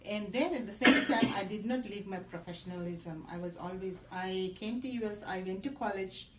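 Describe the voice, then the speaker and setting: A woman's voice: mid-range voice, moderate pace. A middle-aged woman from Fiji, a guest in a casual interview, talking earnestly with many ums and uhs. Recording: roomy echo, faint noise.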